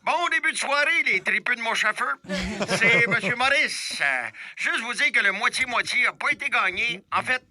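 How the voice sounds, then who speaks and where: A very high-pitched, piercingly bright campground manager, played by a man with a Quebec French accent. He is folksy, crude and cheery, stretching out his greetings and delivering blunt comedy announcements as if over the intercom. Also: lively intonation, normal speed, full character voice. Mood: jovial, blunt.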